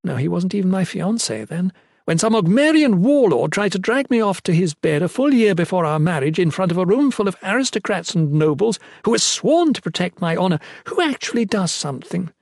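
A man voicing a high-born young woman in an audiobook, bitter, imperious and anguished, speaking with theatrical, sarcastic emphasis. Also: big pitch swings, moderate pace, hardly any pauses, a little mellow, no echo, clean studio sound. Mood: bitter sarcasm.

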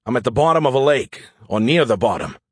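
A man's voice: medium pitch, animated, fast talker, slightly rough, no room sound. A man performs an audiobook military pilot. He sounds sullen and stubborn, with indignant sarcasm and a strong sense of duty. The recording is very dry. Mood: sarcastic, stressed. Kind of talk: audiobook character acting.

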